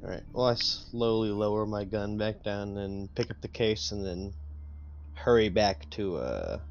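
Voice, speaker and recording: A man playing a tabletop game, describing his character's moves haltingly as he thinks aloud. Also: on the low side. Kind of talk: casual improvised description of his character's actions. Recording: muffled mic with clear background noise.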